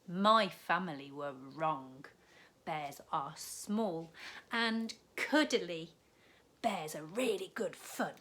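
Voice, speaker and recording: A woman's voice: on the low side, expressive, takes it slowly, fairly bright tone, slight background noise. A woman voicing a stubborn, cheerful little girl from a picture book, in an emphatic, sing-song way. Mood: cheerful, confident.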